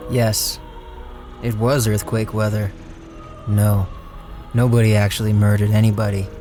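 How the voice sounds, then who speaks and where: A man acting a guilt-ridden, fatalistic plotter who tells his story in a radio drama, somber and deliberate. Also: on the low side, flat delivery, music in the background, natural voice. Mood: somber, resigned. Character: Clyde, guilt-ridden, fatalistic man narrating a murder plot. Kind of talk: dramatic first-person radio drama narration.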